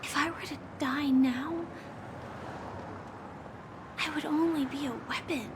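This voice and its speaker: An actress playing a solemn young female soldier in a game, speaking softly and earnestly with breaths between thoughts. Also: high-pitched, fairly even intonation, slow, background noise. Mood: solemn, resolute. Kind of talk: dramatic game monologue. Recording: loud music behind the voice. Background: loud music.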